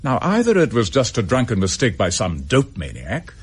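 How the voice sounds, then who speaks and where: An actor playing a dutiful English chief inspector in a radio drama, clipped and businesslike. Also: male voice, medium pitch, wildly swooping pitch, normal speed, breathy edge. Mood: explaining, thoughtful.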